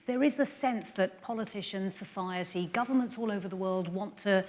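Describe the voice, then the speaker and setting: A woman presenter with a medium-pitched voice moderates a live panel debate. Long reverb from a theatre hall surrounds her voice. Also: brisk, a little mellow.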